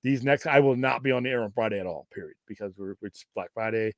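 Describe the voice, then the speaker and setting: An American man with a gruff voice hosts a casual livestream, talking in a rambling, off-the-cuff way and swearing casually. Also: lowish voice, fast talker, dry recording. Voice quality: gruff, self-described Cookie Monster voice. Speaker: host of a pop culture livestream show. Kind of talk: casual livestream chat, question and answer.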